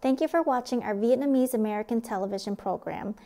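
Female presenter, fairly high register, scripted TV announcement over music. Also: fast. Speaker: television program presenter.

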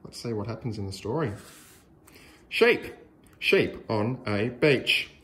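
Man, Australian accent, children's story read-aloud, slow pace, swooping animated pitch, sing-song emphasis. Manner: deliberate, sing-song, exaggerated emphasis on key words. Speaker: storytelling teacher reading to young children. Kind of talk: expressive picture-book read-aloud for children.

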